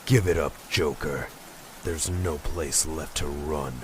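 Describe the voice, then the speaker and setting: A grim masked hero, acted by a man, with an extremely deep voice. He speaks in terse, grave phrases, with clear noise of vehicles behind him in a comic dub. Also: fairly even intonation, slow pace. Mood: stern. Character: grim, stern adult male masked vigilante hero. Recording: noisy helicopter and vehicle ambience.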